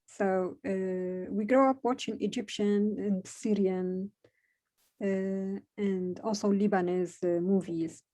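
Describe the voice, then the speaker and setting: A Moroccan woman, a native Moroccan Arabic speaker, talks in English with a soft, warm tone and a mid-range pitch. She pauses often and fills gaps with "uh" as she searches for her words. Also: steady tone. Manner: hesitant, many pauses and "uh" fillers. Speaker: interviewee. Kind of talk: conversational interview.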